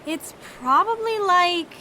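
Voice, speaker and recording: A very high, animated young voice plays an eager, curious twin in an audio drama. The delivery swings freely, with some ambient noise around it. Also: female voice, takes it slowly, exaggerated voice. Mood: observant.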